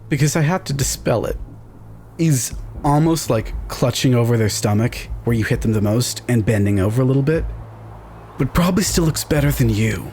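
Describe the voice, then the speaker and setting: A man's voice: mid-range voice, a little mellow. A man taking part in a tabletop game, casually narrating what his character does.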